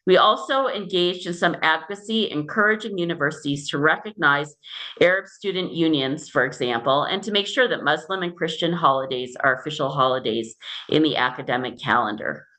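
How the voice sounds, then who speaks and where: Low-voiced bright American woman. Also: low-quality recording. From United States. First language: English. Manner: measured, explanatory. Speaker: guest researcher. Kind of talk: interview answers.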